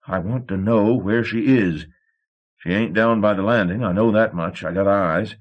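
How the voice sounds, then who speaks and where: A man voicing a gruff, hot-tempered old steamboat captain who bellows and blusters. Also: fairly low pitch, measured pace, highs cut off, lightly acted. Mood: angry.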